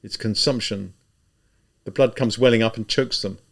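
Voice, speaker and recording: A man voicing an anxious, kindly male civil servant, speaking in a low, worried murmur. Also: moderate pace, gravelly. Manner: hushed, worried muttering.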